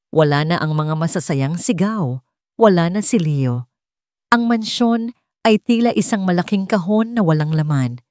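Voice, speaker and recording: A woman's voice: lowish voice, darkish tone, dry recording, studio quality. A woman with a Filipino accent reading a scripted dramatic story as its narrator.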